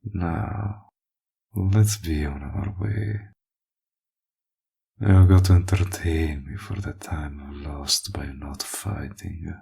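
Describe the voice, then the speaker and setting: A man playing a smug, possessive villain in an audio roleplay, flirtatious and menacing, with a husky, breathy voice and a slow, teasing delivery that dips toward whispers. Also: extremely low pitch, steady tone, dark tone, exaggerated voice. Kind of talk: roleplay audio acting, intimate monologue.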